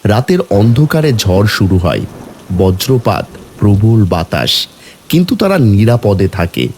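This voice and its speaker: A man reading scripted narration for an animated moral story as its storyteller. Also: fairly low pitch, unhurried, slight background noise.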